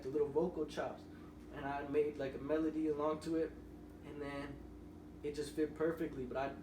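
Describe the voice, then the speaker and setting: Young guy musician just rambling on a podcast, sounds far off the mic, noisy echoey room. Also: a little high. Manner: loose, rambling storytelling. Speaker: guest musician. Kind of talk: casual podcast interview. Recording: roomy, distant mic with noise.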